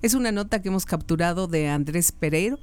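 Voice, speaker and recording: A woman hosting a news show, introducing a segment with hesitant pauses and fillers. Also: on the low side, expressive, a little mellow, clean tone.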